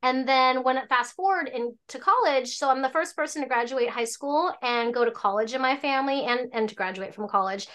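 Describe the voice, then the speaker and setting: A chatty woman in her forties tells stories in a loose, rambling way, full of restarts and fillers. Her voice sits a little high. She talks casually as a guest on an interview podcast. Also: brisk, few pauses, fairly bright tone. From born in Hong Kong, raised in Alaska. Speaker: tea shop owner, guest.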